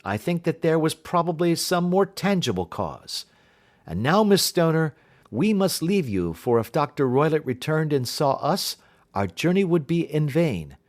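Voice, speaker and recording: A man voicing a keen, assured detective in an audiobook, explaining things precisely and deliberately. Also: a little high, very animated, darkish tone, pitched above the performer's own voice. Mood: assured, urging. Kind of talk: audiobook character dialogue.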